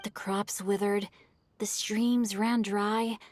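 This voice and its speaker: A woman's voice: mid-range voice, little pitch movement, a little mellow, dry recording. A woman playing a polite, bookish youth in a video game, speaking in an articulate, thoughtful and courteous way. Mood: calm, earnest. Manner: articulate, measured, courteous storyteller. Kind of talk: video game character acting. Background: game music.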